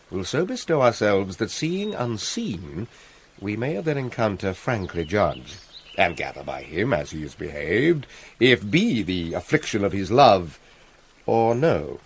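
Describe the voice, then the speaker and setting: A watchful, scheming king in a Shakespearean play, British accent. His delivery is courtly and commanding, with very wide swoops in pitch, over faint background ambience. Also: a little hiss or hum. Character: smooth, calculating, watchful middle-aged usurper king. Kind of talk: theatrical Shakespearean radio drama.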